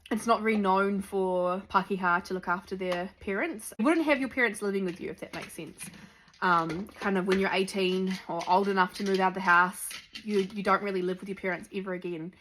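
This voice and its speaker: A woman's voice: mid-range voice, steady tone, brisk, fairly bright tone, slight background noise, rough recording. A young woman from New Zealand with a Kiwi accent, chatting casually to camera with lots of ums and likes. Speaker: vlogger. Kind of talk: sit-down chat to camera.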